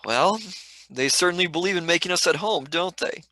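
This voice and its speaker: Actor playing a bright-voiced, cheeky lad. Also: male voice, high-pitched, brisk, dry recording. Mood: wry. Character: cheeky, skeptical young Cockney male companion, slangy. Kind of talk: live script reading, radio play.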